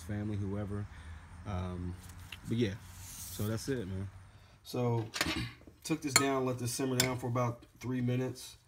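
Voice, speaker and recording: A man in his forties with a fairly low voice chats loosely as he cooks in his home kitchen, sounding slightly congested. He is recorded on a phone camera, with clear background noise around him. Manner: laid-back, rambling, says "man" and "bad boy" a lot. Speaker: home cook vlogger. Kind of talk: casual how-to vlog.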